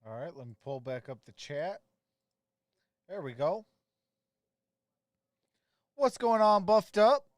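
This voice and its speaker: High-voiced man, livestream sports commentator, basketball play-by-play and chat, dry close mic. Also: very animated, takes it slowly, pauses often, no room sound. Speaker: streamer doing play-by-play.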